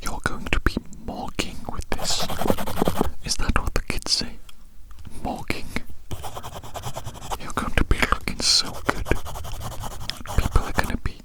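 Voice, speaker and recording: A person whispering very close to the mic in a breathy, unvoiced ASMR roleplay ramble, softly repeating words and phrases. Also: a little slow, pauses often. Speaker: ASMR artist playing an alien who draws on the listener's face. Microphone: very close microphone.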